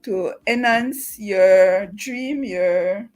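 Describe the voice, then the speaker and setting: A woman's voice: medium pitch, very slow, a little mellow, faint music behind. A woman hosting a livestream, welcoming a guest and making announcements to viewers. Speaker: livestream host.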